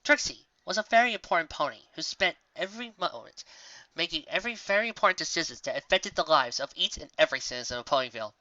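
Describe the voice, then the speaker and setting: A reader narrating a scripted fantasy story in a theatrical, expressive way. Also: mid-range voice, quick pace, no echo, dull audio. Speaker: audiobook reader. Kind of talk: scripted narration of fan fiction story, dramatic.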